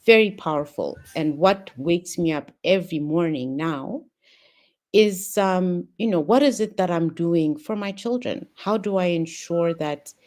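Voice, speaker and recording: A middle-aged woman from Ethiopia, a panel guest telling her personal story in a thoughtful way, pausing often with ums and restarts. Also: on the low side, a little mellow.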